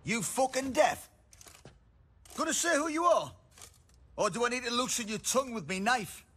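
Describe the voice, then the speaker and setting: A bullying armed thug in a fantasy game. His working-class British voice is very high-pitched and raspy, swooping around as he taunts. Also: animated, moderate pace, a bit bright, exaggerated voice. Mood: taunting. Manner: taunting, mocking. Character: sneering male soldier thug, foul-mouthed bully with a knife. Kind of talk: video game acting.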